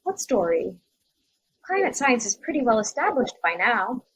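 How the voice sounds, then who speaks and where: Earnest, troubled young woman teacher, high-pitched played by a woman. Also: sing-song, moderate pace, a bit bright, slightly rough, full character voice, rough recording. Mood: worried, defensive. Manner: hesitant, earnest. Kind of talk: scripted podcast dramatization.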